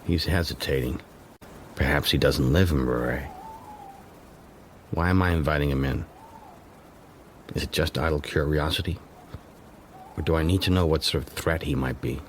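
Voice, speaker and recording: An actor playing a shrewd, suspicious middle-aged man who narrates his private thoughts in a measured, dry, musing way for a radio drama. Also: extremely low pitch, slight background noise. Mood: wary curiosity. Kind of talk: intimate first-person radio drama narration.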